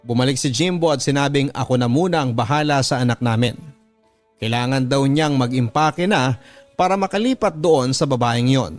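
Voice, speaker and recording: A Filipino man narrates a dramatic first-person story in a mid-pitched voice, with music playing clearly underneath. His delivery is earnest and measured, and he stretches out the ends of phrases. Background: background music.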